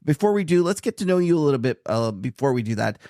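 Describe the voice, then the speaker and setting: A man's voice: a little high, sing-song, warm tone, no room sound, studio quality. A man co-hosting a podcast and interviewing a guest, chatty and enthusiastic. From Canada. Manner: chatty, enthusiastic, quick to laugh. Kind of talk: casual podcast chat and interview.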